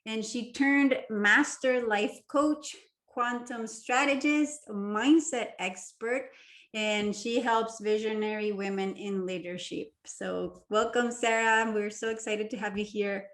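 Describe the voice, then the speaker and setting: A woman's voice: fairly high pitch, fairly even intonation, slow pace. Enthusiastic woman host, echoey room. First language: Spanish. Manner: enthusiastic, warm welcoming, laughs often. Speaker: interview host. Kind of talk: casual interview chat.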